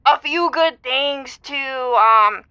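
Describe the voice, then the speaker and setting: Slightly dull call audio, a keen smitten young caller, high clear voice, chatty n earnest, audio drama. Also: female voice, drawn-out words, sharp tone, no echo, exaggerated voice. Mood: eager. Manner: eager, casual, chatty. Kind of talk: semi-improvised audio drama phone-in.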